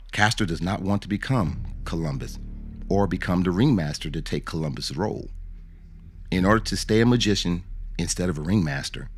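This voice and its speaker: A man reading scripted radio drama narration in a measured, explanatory way. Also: low-pitched, a little mellow. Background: music.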